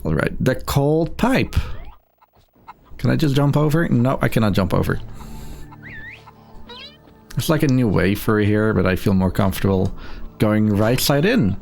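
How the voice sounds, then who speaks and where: A man's voice: on the low side, expressive, unhurried, creaky, pauses often, a little mellow, soft background music. A man giving casual live commentary as he speedruns a video game. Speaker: speedrunner commentating own run.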